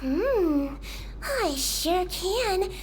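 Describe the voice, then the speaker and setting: A woman voicing a small, childlike creature nurse in a video game, sweet, composed and gently sly. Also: very high voice, very animated, extremely slow, a little hiss or hum, heavily acted. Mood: sweetly firm. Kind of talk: scripted video game voice acting. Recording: music under the voice.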